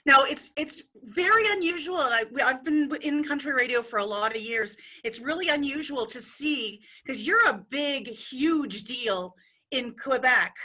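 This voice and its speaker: A woman's voice: high-pitched, animated, moderate pace, pushed and bright. A middle-aged woman from Ontario hosting a radio interview, enthusiastic and full of praise for her guest. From Ontario, Canada. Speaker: radio host.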